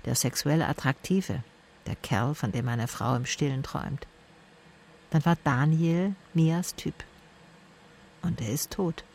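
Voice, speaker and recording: Casting: hard-boiled female private eye, radio drama, gravelly low voice, dry and sardonic. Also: slow pace, pauses often, darkish tone, slight background noise. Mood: brooding, sardonic. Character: wry, tough female private detective narrating her case. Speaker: narrator and lead actor. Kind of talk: radio drama narration and dialogue.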